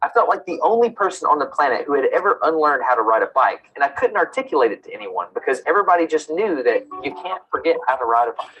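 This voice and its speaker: Animated, bright-voiced man narrating. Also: a little high, quick pace, few pauses, slightly rough, muffled recording. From United States. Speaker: science video host. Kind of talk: video narration with on-the-spot commentary.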